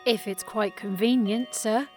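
A woman playing a meek, polite male clerk in an audio drama, deferential and soft-spoken. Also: medium pitch, fairly even intonation, a little slow, soft background music, close to an everyday voice. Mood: meek, hopeful.

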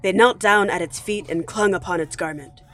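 A woman playing a grave storybook narrator, reading scripted audio drama with vivid, deliberate theatricality. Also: mid-range voice, a bit bright, close to an everyday voice. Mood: dark, dramatic.

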